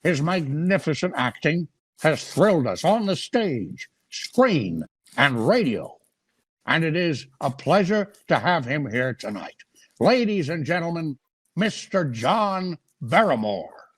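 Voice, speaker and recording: An earnest, gushing 1930s radio host who is easily wounded. His fairly high voice swings widely and sounds close and dry. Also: big pitch swings, slow, breathy edge, no room sound, heavily acted. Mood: effusive. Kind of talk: 1930s radio comedy acting.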